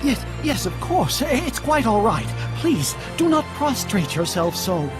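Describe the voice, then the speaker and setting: An actor playing a humble, devout priest who speaks formally and deferentially, hesitant when flustered, in a fantasy game scene. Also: male voice, very high voice, big pitch swings, unhurried, full character voice. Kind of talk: dubbed fantasy game cutscene acting. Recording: orchestral music behind the voice.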